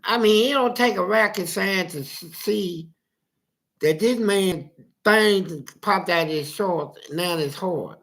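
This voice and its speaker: A man with a gravelly voice telling a story casually, in animated, profane street talk and acting out the dialogue. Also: high voice, takes it slowly. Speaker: interviewee telling his life story.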